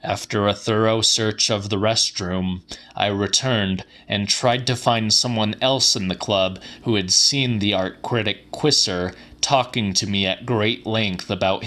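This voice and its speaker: A man giving a deliberate, theatrical dramatic reading of literary weird fiction as an audiobook narrator. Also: on the low side, a bit bright.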